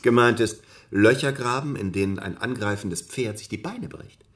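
A man's voice: lowish voice, moderate pace, fairly bright tone. A man giving analytical military commentary in a radio drama, in the role of an expert.

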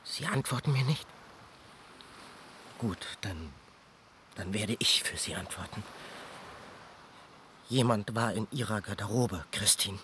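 Bright-voiced, earnest young suitor. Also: male voice, fairly low pitch, slow pace, long pauses, slight background noise. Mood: jealous, accusing. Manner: urgent, formal. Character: earnest, jealous young suitor, formal and lovelorn. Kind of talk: radio play acting.

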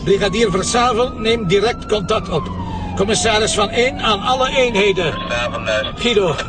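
An actor playing a gruff, impatient police commissioner in a screen drama, terse and commanding with a Flemish accent. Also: male voice, very high voice, huge pitch swings, moderate pace, full character voice. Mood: urgent, commanding. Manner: terse, pressing, gives orders. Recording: noisy vehicle ambience.

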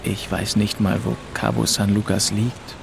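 A man's voice: on the low side, little pitch movement, a little slow, vocal fry, background noise. An actor playing a weary, bitter young man who narrates his own story in an audio drama. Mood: subdued narration. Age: young adult.

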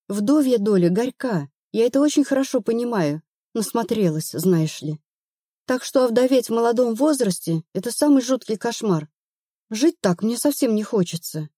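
A woman's voice: fairly high pitch, mellow, dry recording, studio quality. A woman narrator playing an anxious, stubborn woman of thirty-one in an audiobook, plaintive and earnest. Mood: pleading.

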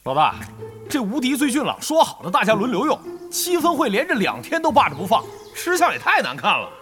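Pushy griping young guild guy, high voice, super animated, audio drama. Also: brisk, soft background music, exaggerated voice. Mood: indignant. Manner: indignant, argumentative, eager. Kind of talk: Chinese audio drama acting.

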